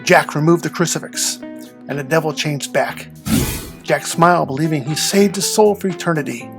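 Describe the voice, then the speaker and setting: A storyteller narrates a scripted folktale in a creaky voice of medium pitch. Faint music runs underneath the reading. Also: moderate pace. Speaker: podcast host and storyteller.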